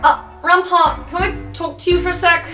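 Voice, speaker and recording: A woman's voice: extremely high pitch, flat delivery, moderate pace, sharp tone, voice pushed up. A woman voicing an awkward, earnest young male student who stammers hesitantly and explains matter-of-factly. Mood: nervous. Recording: music behind.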